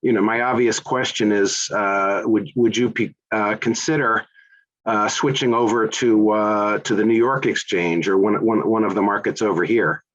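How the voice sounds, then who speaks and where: A man's voice: fairly low pitch, steady tone, moderate pace, a bit bright, highs cut off. A man from the United States hosting a business interview, asking questions in a hesitant, conversational way with many repeated words. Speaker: interviewer, podcast host.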